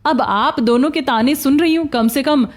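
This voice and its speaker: A woman acts out a young daughter-in-law from a Hindi cartoon story. Her voice is high and expressive, fretful yet cheeky. Music plays behind her. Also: moderate pace, close to an everyday voice. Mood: cheeky, sarcastic. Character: young amnesiac daughter-in-law, former beggar, distressed but sharp-tongued.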